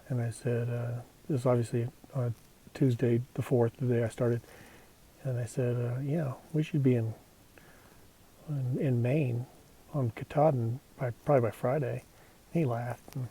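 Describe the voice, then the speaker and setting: A man's voice: mid-range voice, mellow, low-quality recording. A man with a Texas accent talking casually to camera while out on a mountain trail, rambling with lots of fillers. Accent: Texan. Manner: rambling, easygoing, with frequent fillers. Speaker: thru-hiker vlogging his hike. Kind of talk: casual vlog narration.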